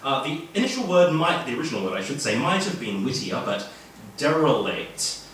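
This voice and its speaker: Australian male reader, lively swooping delivery, wry comic fiction live, very roomy distant sound. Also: mid-range voice, a little fast. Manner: wry, jokey, chuckling asides. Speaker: author reading at a literary event. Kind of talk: live reading of humorous fiction with asides. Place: indoor event venue, filmed reading. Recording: echoey room, distant mic, faint noise.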